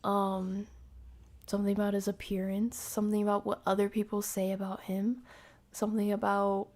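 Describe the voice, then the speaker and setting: A woman's voice: medium pitch, completely flat delivery, darkish tone. A woman streamer giving casual, playful commentary to a live chat, talking in internet slang. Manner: slangy, playful, drawn-out delivery. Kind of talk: casual livestream commentary, chatting with viewers.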